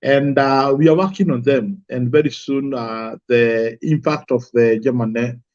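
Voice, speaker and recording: A man's voice: mid-range voice, steady tone, unhurried, a little mellow, no echo. Easygoing Kenyan man on a dull video call. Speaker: guest from an apple farm. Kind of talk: casual interview talk.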